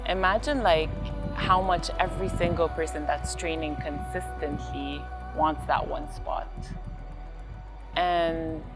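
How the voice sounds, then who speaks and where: A young woman athlete from Lebanon and Liberia, speaking reflectively in a documentary interview with casual fillers. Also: low-pitched. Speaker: sprinter.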